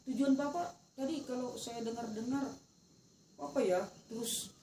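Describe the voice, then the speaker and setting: A woman's voice: fairly high pitch, normal speed, mellow. A woman with a North Sumatran Indonesian accent gives informal feedback as an observer. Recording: distant echoey recording, insect noise.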